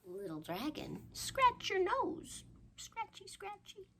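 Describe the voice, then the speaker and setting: Female storytime host for young kids: playful, encouraging, animated intonation, unhurried delivery, some background noise. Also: very high-pitched. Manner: playful, encouraging, asks listeners to join in. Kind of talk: interactive rhymes and craft talk for young children.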